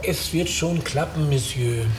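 A man playing a devoted, deferential manservant with a high male voice. He speaks slowly and reassuringly in a radio drama, with clear noise in the background. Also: natural voice.

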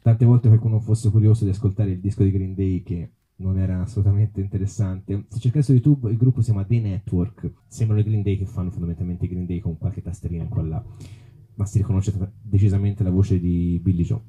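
A man's voice: sits low, fairly even intonation, a little fast, mellow, husky, rough recording. A man speaking informally and reflectively as an author presenting his book at a live event.

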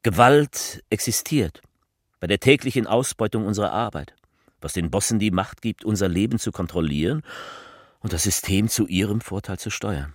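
An actor playing a grave, fervent political orator, delivering a rhetorical, slightly absurd theatrical speech with deliberate phrasing and emphatic repetition. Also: male voice, on the low side, animated, no echo, studio quality. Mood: fervent, defiant.

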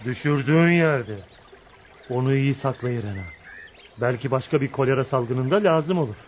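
A man's voice: medium pitch, moderate pace, a little hiss or hum, close to an everyday voice. An actor playing a brooding, jealous man of volatile temper in a dramatic, intense radio theatre style. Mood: menacing. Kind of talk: Turkish radio theatre acting.

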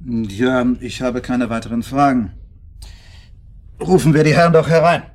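An actor plays a businesslike lawyer in a radio play. His mid-pitched male voice sounds set back at some distance from the microphone. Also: normal speed, lightly acted. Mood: brisk. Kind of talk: radio drama acting.

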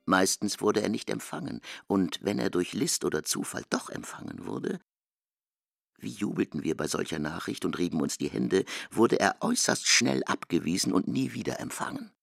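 A man narrating a literary radio play in a measured, expressive reading. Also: low voice, gravelly, dry recording, clean studio sound.

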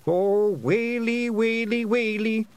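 High-pitched rowdy little Scottish warrior. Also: male voice, big pitch swings, moderate pace, faint music behind. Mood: wailing dread. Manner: broad dialect, deferential yet boastful. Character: tiny tattooed blue warrior man, rowdy but nervous. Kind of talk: audiobook character voice.

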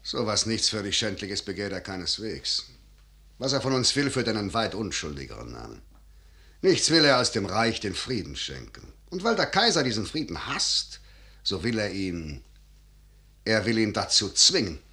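A man's voice: on the low side, big pitch swings, normal speed, pushed and bright, exaggerated voice. An actor playing a grave, calculating older father and general in a classical radio verse drama, with solemn, emphatic declamation. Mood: urgent, insistent.

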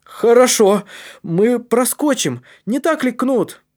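A man's voice: high-pitched, big pitch swings, unhurried, pitched above the performer's own voice. A man voicing a strong, bold older boy who leads with urgent commands in a story. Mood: encouraging.

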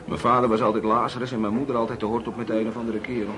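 An actor playing a talkative middle-aged working-class driver in a colloquial radio drama, speaking in a chatty, familiar way. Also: male voice, medium pitch, little pitch movement, very fast, background noise, natural voice. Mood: chatty, musing.

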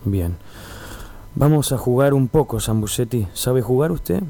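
A man's voice: medium pitch, expressive, a little slow, gravelly, mellow. A man playing a pompous, sarcastic casting director with an Argentine accent in a comic radio play. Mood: dry, commanding. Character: haughty, scornful male casting director.

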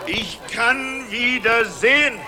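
An actor playing a gullible, remorseful Russian tsar in a children's radio play, speaking in a solemn theatrical manner. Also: male voice, very high-pitched. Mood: solemn, proclaiming. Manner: slow, theatrical, declaiming.

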